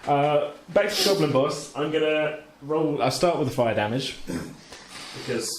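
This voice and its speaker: A man's voice: a little high, a little slow, slight background noise. A man casually narrating a tabletop game as game master, in an informal, jokey way. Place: echoey indoor room. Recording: roomy, echoing home recording.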